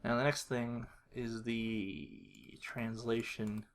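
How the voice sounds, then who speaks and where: A man giving a casual screen-recorded walkthrough, thinking aloud in a low-key, halting mutter. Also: expressive, creaky. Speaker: video creator. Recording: faint noise with keyboard clicks. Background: computer keyboard typing and mouse clicks.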